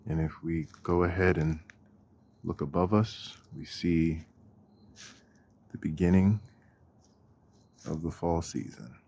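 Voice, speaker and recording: Male meditation guide, very low and husky, measured and unhurried delivery with long pauses. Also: fairly even intonation, darkish tone. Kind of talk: guided walking meditation narration. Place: outdoors on a walk.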